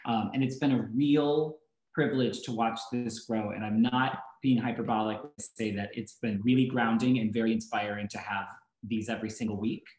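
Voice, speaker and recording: A man's voice: on the low side, fairly even intonation, moderate pace, a little mellow. Social media guy on a video call, lots of ums and self corrections, roomy echo. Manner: hesitant, many fillers and self-corrections. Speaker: social media team member co-hosting. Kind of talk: online meetup introduction.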